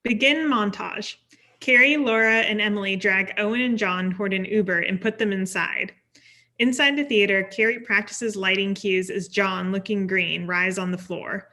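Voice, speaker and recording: A woman's voice: mid-range voice, normal speed, sharp tone. A woman reading stage directions as scripted narration at a table read.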